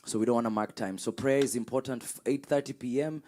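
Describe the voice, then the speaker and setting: A man's voice: medium pitch, steady tone, quick pace, a little mellow. A man hosting a church service, giving welcomes and announcements in an exhortatory way, repeating words and calling for responses. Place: church with congregation.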